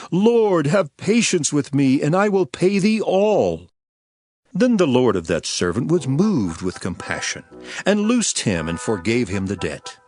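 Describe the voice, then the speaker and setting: An earnest, solemn teacher from a scripture drama. His medium-pitched voice moves widely and emphatically through measured oratory. Faint music plays behind him.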